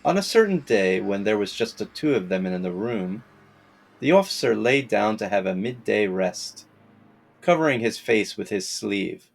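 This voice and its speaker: Low-voiced, gravelly male storyteller. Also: expressive, normal speed. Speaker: narrator and reader of folktales. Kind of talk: scripted storybook narration of an old folktale.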